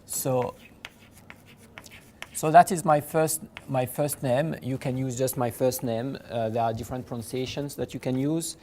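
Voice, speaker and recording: A man with a French accent giving an informal university lecture, halting and repeating words as he goes, in an approachable way. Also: medium pitch, fairly even intonation, darkish tone, a little hiss or hum. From France, originally from Morocco. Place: lecture room with blackboard.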